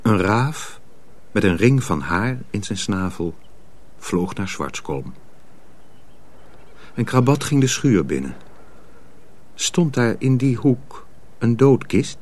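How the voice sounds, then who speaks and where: A man's voice: fairly low pitch, very animated, takes it slowly, pauses often, darkish tone. A man narrating a radio drama as a storyteller, reading a dramatic script.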